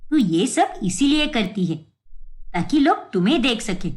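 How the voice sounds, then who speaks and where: A woman voicing a middle-aged mother who scolds in a curt, dismissive, scornful way in an animated story. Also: a little high, unhurried. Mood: irritated, scornful. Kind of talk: animated moral story acting.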